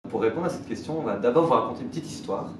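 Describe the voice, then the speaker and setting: A man in his thirties, a mentor to social entrepreneurs, speaking in an interview with fillers and restarts, often trailing off softly. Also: mid-range voice, expressive, racing. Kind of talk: conversational interview. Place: small bright indoor room. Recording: echoey room, distant mic, noise.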